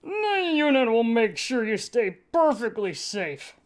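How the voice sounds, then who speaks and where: A woman acts out a male sci-fi audiobook villain, an officer with cheerful cruelty. He cajoles and taunts with great self-importance, pitched a little above narration. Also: sing-song, unhurried. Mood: falsely reassuring. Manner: pompous, cajoling.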